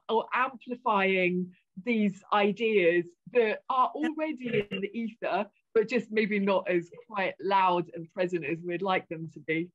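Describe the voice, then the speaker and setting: A woman academic speaking as a panelist in an online discussion, musing and animated. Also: medium pitch. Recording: dull lo-fi video call audio.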